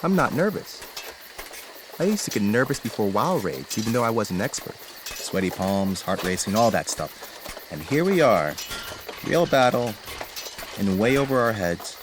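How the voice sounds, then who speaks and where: A man's voice: fairly low pitch, animated, a little slow, pauses often, background noise. A man playing a thoughtful, nerdy teenage gamer in a fantasy audio drama, with a dry, reflective manner.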